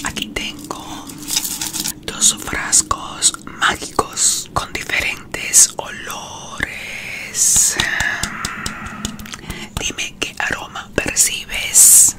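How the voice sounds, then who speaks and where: A middle-aged woman whispers right up at the microphone as a playful vampire doctor. Her delivery is very slow and soothing, with a theatrical touch, in the style of an ASMR medical exam. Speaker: ASMR performer. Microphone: close studio microphone on desk.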